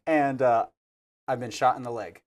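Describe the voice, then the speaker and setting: A man hosts a casual podcast conversation in a playful, teasing way, often thinking out loud. His voice sits at an everyday middle pitch. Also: normal speed. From New Mexico. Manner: playful, joking, thinks aloud. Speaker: podcast host. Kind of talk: casual interview chat.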